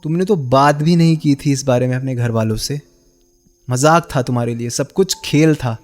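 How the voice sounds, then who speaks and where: A man's voice: medium pitch, moderate pace, faint music behind, close to an everyday voice. An actor playing a bitter, heartbroken young man in a radio drama, sarcastic and accusing as he confronts the woman he loved. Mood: accusing, hurt. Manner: sarcastic, accusing, with pointed rhetorical questions. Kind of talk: Hindi radio play acting.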